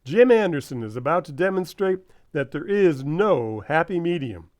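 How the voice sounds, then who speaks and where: A man's voice: on the high side, very animated, moderate pace, darkish tone, no echo, studio quality. A man playing a grandly cheerful 1950s radio announcer narrating a sitcom. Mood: mock serious. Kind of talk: old-time radio announcing.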